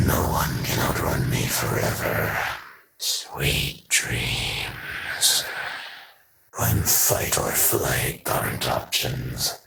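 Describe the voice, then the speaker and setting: A man voicing a menacing, deathly animatronic horror-game villain with a gravelly voice and a slow, ominous, taunting manner. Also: heavily acted. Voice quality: gravelly, very deep. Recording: noisy, echoey, distant-sounding.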